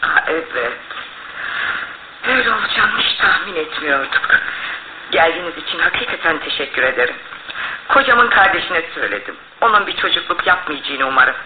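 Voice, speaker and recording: A frail, querulous lady, low-voiced. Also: animated, brisk. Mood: gracious, vague. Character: frail, tired aristocratic lady, querulous and vague. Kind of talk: Turkish radio theatre acting. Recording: tinny, band-limited old radio broadcast, faint noise.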